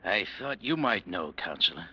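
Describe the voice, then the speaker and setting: An actor playing a menacing male gangster boss who threatens quietly in a 1940s radio drama, on a muffled old recording. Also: deep voice, very animated, normal speed, husky, soft background music, exaggerated voice.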